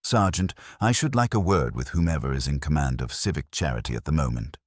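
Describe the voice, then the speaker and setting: A man's voice: rumbling low, expressive, a little fast, no echo. A man voicing a courteous, dry police inspector who speaks formally and precisely in a mystery story. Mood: politely commanding.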